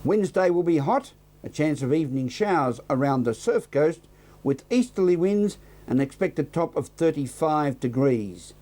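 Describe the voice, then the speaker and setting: A man's voice: fairly high pitch, lively intonation, normal speed, warm tone. A man with an Australian accent presenting local television news and sport, reading bulletins and putting questions to guests.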